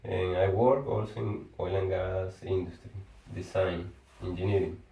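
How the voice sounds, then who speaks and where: Venezuelan guy, kinda low voice, halting english w lots of restarts, sounds far from the mic in an echoey room. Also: fairly even intonation, vocal fry, mellow. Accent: Venezuelan Spanish. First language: Spanish. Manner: halting, restarts words, searches for English phrasing. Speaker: engineer. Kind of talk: casual conversation.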